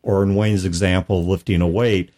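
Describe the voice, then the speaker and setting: A man with a fairly low voice, talking through ideas in an easy, methodical way. He co-hosts a casual gaming chat show and backs each point with an example. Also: steady tone, moderate pace, a little mellow. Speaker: podcast co-host.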